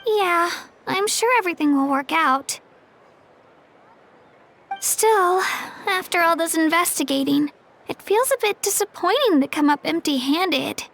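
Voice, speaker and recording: A woman's voice: extremely high pitch, expressive, unhurried, a bit bright, a little hiss or hum, full character voice. A woman voicing a cheerful, earnest young girl in a video game, bubbly and eager. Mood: upbeat.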